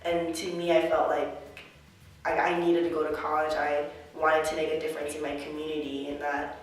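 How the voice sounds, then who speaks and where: Young woman, college student, earnest and reflective, lots of "like" and "you know", flat even delivery, recorded far from the mic in a very echoey room. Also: low-pitched, moderate pace. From United States. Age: young adult. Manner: reflective, earnest, heavy on filler words. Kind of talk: personal interview answers.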